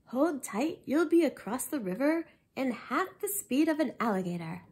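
A woman's voice: sits high, big pitch swings, moderate pace, darkish tone, slight background noise. A woman voicing a confident, self-satisfied inventor from a children's picture book, proclaiming in a showy way. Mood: proud.

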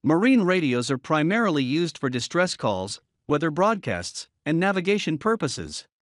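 A man's voice: fairly high pitch, big pitch swings, moderate pace, no echo, studio quality. A man reading a scripted voice-over, working through a list of numbered points. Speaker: narrator.

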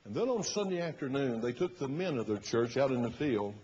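Husky preacher in a reverberant church. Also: male voice, very animated, a little fast. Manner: emphatic, oratorical, rising to loud bursts and dropping low. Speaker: pastor preaching. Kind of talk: fiery sermon. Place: large church sanctuary, at the pulpit platform. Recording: muffled recording in a reverberant church hall.

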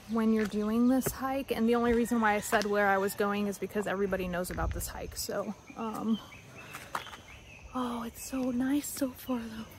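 A young adult woman talking casually and excitedly to camera as a vlogger, in informal, chatty speech. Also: medium pitch, slight background noise.

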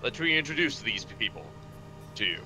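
A man's voice: medium pitch, expressive, normal speed, over music, lightly acted, poor audio. Man playing a formal, loyal knight commander. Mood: proud, dutiful. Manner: formal, declarative. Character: loyal knight commander reporting to his king.

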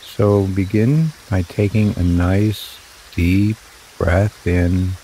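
A man guiding a relaxation meditation in slow, soothing, drawn-out phrases. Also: low voice, warm tone, a little hiss or hum, rough recording. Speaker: meditation guide. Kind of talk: guided meditation narration.

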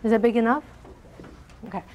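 A soft-spoken middle-aged woman lecturing on math in a large hall, often checking in with her class. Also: a little high, brisk, dull audio. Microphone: lapel mic.

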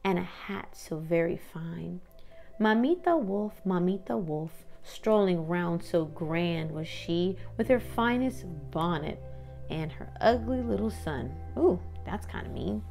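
A woman's voice: fairly low pitch, expressive, takes it slowly, creaky, darkish tone, faint music behind. A woman reading a children's picture book aloud expressively, with sing-song rhymes and informal asides. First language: English. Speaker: read-aloud host.

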